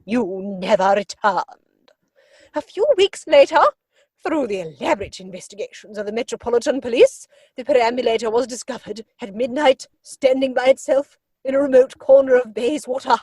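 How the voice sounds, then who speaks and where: A woman performs a haughty older noblewoman in a comic stage play. Her voice is fairly high with a husky edge, recorded dry and close. She delivers each pronouncement with pompous, commanding weight. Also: moderate pace, warm tone, dry recording. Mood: stern, accusing. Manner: pompous, commanding, pointed emphasis.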